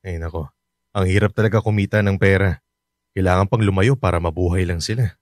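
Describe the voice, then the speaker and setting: An actor playing a humble father who leaves his family to work abroad, speaking softly and earnestly in a radio drama. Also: low-pitched, fairly even intonation, fairly quick, no room sound, studio quality, natural voice. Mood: friendly. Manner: soft, earnest, gentle. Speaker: overseas worker, father.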